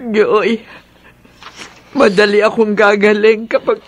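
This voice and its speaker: A woman's voice: medium pitch, level tone, slow pace, slight background noise, lightly acted. A woman playing a sickly, grieving mother in a radio drama, sorrowful and weary but stubborn.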